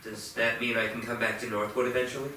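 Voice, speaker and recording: A man's voice: medium pitch, level tone, fast, sharp tone, natural voice. A man in a staged play reading playing a remorseful, subdued teenage boy, meek and apologetic. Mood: contrite. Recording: echoey theatre, far from mic, faint noise.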